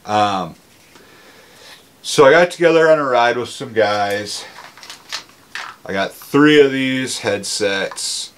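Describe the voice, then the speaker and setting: A man's voice: mid-range voice, slow pace, pauses often, a bit bright, a little hiss or hum. A man gives a casual, conversational, plain-spoken product review. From Minnesota, United States. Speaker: motorcycle gear reviewer.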